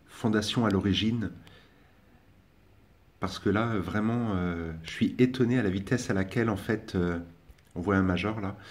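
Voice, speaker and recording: A young man speaks in a deep, low voice, talking casually about his hobby while he films. He hesitates often, trailing off and restarting his sentences with filler sounds. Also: darkish tone. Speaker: ant-keeping hobbyist vlogger. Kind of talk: casual spoken commentary over filming.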